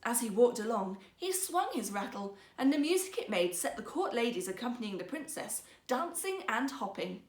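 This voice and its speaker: A woman storyteller reading a fairytale for children in a theatrical, expressive manner. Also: on the high side, normal speed, few pauses. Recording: echoey room.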